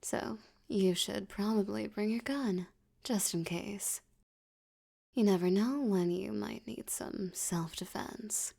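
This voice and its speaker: Slow, seductive female mafia boss, acted by a woman. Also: mid-range voice, vocal fry, dark tone. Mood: seductive, commanding. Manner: teasing, seductive, condescending, drawn-out delivery. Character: flirtatious, domineering female mafia boss toying with a detective. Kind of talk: ASMR roleplay acting.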